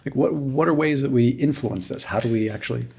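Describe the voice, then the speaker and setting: A man's voice: mid-range voice, fairly quick, vocal fry, a little mellow, breathy edge. A male interview host asking questions in a loose, rambling way full of fillers.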